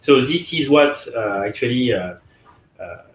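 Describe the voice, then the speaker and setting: A man interviewing a guest, asking halting questions that are full of repeated words and "uh". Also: medium pitch, animated. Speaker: interviewer, host. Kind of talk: conversational interview. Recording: echoey room, dull sound, faint noise.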